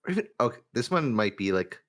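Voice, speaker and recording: A man in a casual podcast discussion, complaining in an animated way and often saying "like". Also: mid-range voice, fast talker. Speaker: podcast guest.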